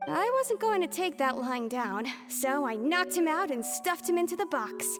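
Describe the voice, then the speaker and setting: A woman's voice: high-pitched, lively intonation, normal speed. An actress playing a nervous young woman thief, earnestly confessing and pleading her innocence, over game music. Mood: anxious. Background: game score music.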